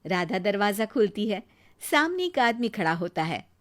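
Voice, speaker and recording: A woman's voice: mid-range voice, big pitch swings, moderate pace. A woman narrating a scripted moral story as a storyteller.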